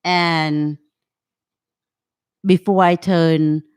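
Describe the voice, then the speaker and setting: A woman in her fifties, originally from Cambodia, speaking English as her at an unhurried pace. Her sentences come out halting, with frequent restarts as she searches for words. The talk is a relaxed interview chat. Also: on the low side, smooth. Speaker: guest. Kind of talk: casual conversational interview.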